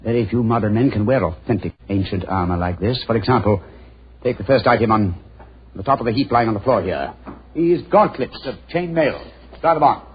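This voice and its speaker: An actor playing a shrewd, self-assured English detective in a 1940s radio drama, with a British accent and a crisp, analytical manner. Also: male voice, fairly low pitch, animated, a little fast, lightly acted. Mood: brisk, deducing. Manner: crisp, analytical, slightly condescending. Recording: muffled, noisy old 1940s radio recording.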